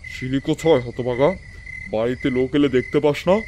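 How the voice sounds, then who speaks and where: An actor playing an irritable, commanding old gentleman ghost in an audio story, scolding and grumbling. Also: medium pitch, normal speed, slight background noise, natural voice. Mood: stern scolding. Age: older. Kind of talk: Bengali audio story acting.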